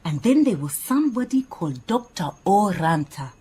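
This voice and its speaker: Animated, opinionated woman assistant. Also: fairly low pitch, moderate pace, breathy edge, heavily acted. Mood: proud, eager. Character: earnest, opinionated female detective's assistant, proud and chatty. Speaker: actor in radio drama. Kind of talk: radio drama acting.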